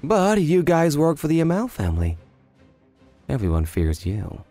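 Man as a cool, measured underworld informant. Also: on the high side, wildly swooping pitch, mellow, soft background music. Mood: grave, informative. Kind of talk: animated manga dub dialogue.

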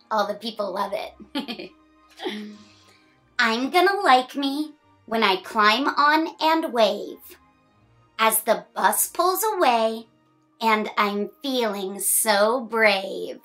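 A woman in her thirties hosts a children's read-aloud in a fairly high, bright voice. She is expressive and encouraging, with a smiling, sing-song delivery and friendly asides to young listeners. Music plays behind her. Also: very slow, pauses often. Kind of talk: reading a picture book aloud to children, with chatty asides.

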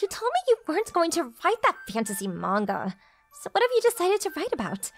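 A lovestruck teenage anime girl, extremely high and very animated in pitch. She is clingy and jealous, delivering everything with dramatic emotion, as in a romantic comedy dub. Music plays behind her. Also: fairly quick, heavily acted. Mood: playful, flustered.

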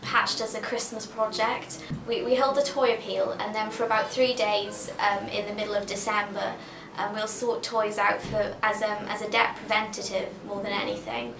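A woman's voice: mid-range voice, normal speed, few pauses, crowd noise. An eighteen-year-old woman from Pembrokeshire, talking earnestly and with some hesitation about her charity volunteering in an interview. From Pembrokeshire, Wales. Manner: earnest, heartfelt, hesitant with fillers. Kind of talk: documentary interview. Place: indoor room. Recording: echoey room with music over.

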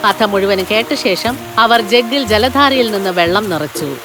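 A woman's voice: medium pitch, moderate pace, over music. A woman narrator reading a scripted fairy tale for a children's cartoon.

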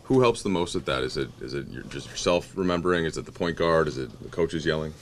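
Very deep-voiced fast-talking male reporter. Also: slight background noise. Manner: rapid, rambling questions with restarts. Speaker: sports reporter. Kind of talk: postgame questioning.

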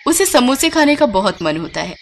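A woman reads a scripted moral story in a fairly high voice. Faint ambient noise runs behind the narration. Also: sing-song, normal speed.

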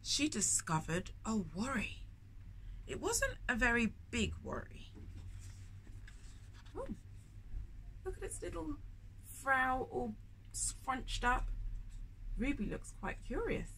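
A woman's voice: sits high, big pitch swings, slow pace, long pauses, sharp tone, rough recording. A middle-aged woman hosting storytime, reading a picture book aloud expressively, with chatty asides and questions for young listeners. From lives in London, England. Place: indoors in a bookshop. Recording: clear background noise, shop ambience. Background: background ambience noise.

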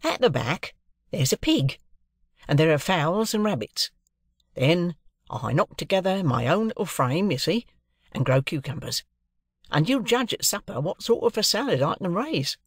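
A woman's voice: on the low side, sing-song, moderate pace, breathy edge, dry recording, studio quality, voice pushed up. A woman voicing a proud, shrewd, eccentric male clerk in an audiobook, with emphatic, confiding, colloquial talk. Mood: proud, eager. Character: chirpy, proud middle-aged London clerk, man, shrewd and eccentric.

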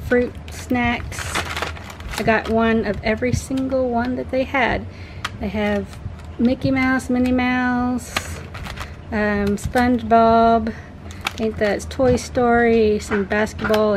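A woman's voice: a little high, background noise. A young woman chatting casually and unscripted in a rambling, self-correcting way as she shows products to a handheld camera in a home kitchen. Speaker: vlogger, parent showing a grocery haul.

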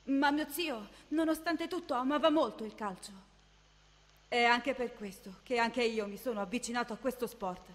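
A woman's voice: a little high, normal speed, close to an everyday voice. A woman voicing an earnest, youthful boy soccer player in an Italian anime fan dub. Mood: sincere, subdued. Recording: long reverb, music behind.

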